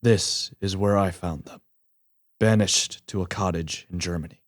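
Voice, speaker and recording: A lonely creature , acted by a man, recounts his life for a radio drama. The voice is low and formal, with a brooding, reflective turn. The sound is close and dry. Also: fairly even intonation, unhurried, no room sound. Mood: reflective narration. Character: lonely, thoughtful male creature telling his life story. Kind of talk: radio play narration.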